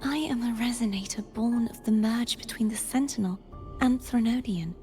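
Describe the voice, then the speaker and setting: A woman playing a solemn, resolute young female warrior in a video game, speaking in an earnest, measured, breathy way. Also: a little high, music in the background, close to an everyday voice. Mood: grave, reflective.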